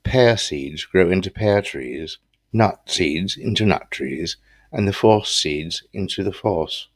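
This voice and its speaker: A man reciting philosophical quotations from a script in a solemn, deliberate manner. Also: deep voice, no echo. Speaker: reader of quotations.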